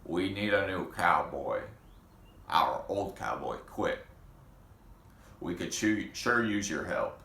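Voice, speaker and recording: A man voicing a gruff, growling cowboy boss from a children's storybook, with a commanding manner. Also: low voice, expressive, takes it slowly, pauses often, sharp tone, exaggerated voice. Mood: gruff, demanding. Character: gruff male cattle-camp cowboy boss. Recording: roomy echo with faint background noise.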